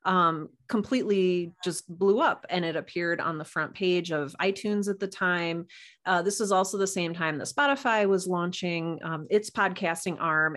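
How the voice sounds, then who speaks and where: A woman's voice: on the low side, a bit bright. A woman chatting casually as a podcast guest, explaining things with enthusiasm. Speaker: podcast guest, writer and audio drama producer. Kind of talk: casual interview chat.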